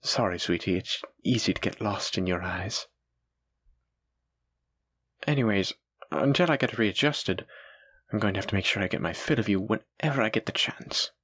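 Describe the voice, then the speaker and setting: A man playing a doting, gently possessive dragon-blooded librarian, speaking tenderly and coaxingly to his sweetheart in an intimate audio roleplay. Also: lowish voice, normal speed, pauses often, husky. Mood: tender, reassuring. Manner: tender, coaxing, affectionate, reassuring. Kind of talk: intimate scripted audio roleplay addressed to the listener.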